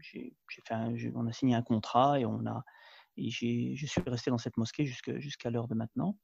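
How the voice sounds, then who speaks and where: Belgian-born guy in his 30s, gravelly husky voice, lots of euhs and restarts, dull lo-fi sound. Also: mid-range voice, steady tone, a little fast, a little mellow. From Belgium, Moroccan family. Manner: hesitant, many fillers and restarts. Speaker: imam, guest. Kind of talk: conversational interview.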